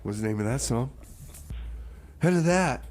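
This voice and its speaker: A man's voice: mid-range voice, expressive, measured pace, a little mellow, natural voice. A man playing an easygoing slacker radio DJ in improvised comedy radio banter, rambling and repeating himself in exclamatory slang. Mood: laid-back. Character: easygoing slacker male radio DJ, nostalgic for cat life. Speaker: radio show host and DJ.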